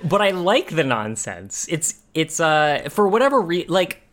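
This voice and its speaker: A man co-hosting a podcast, talking casually and thinking aloud in a halting way. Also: on the high side, very animated, fairly bright tone. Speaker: podcast co-host. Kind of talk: casual discussion of animation.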